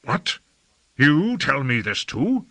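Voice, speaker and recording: A man's voice: mid-range voice, big pitch swings, slow pace, gravelly, voice pushed up, heavily acted. A man voicing an imperious, hot-tempered lord in an audiobook, harsh and urgent as he gives commands. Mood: angry, demanding. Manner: urgent, peremptory, shouting commands.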